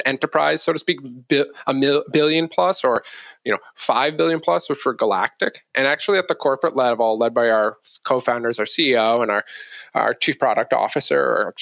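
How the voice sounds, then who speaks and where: A man's voice: medium pitch, vocal fry, a bit bright, no room sound, muffled recording. A man explaining business informally as a podcast guest, thinking aloud and correcting himself as he goes. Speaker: podcast guest, marketing executive.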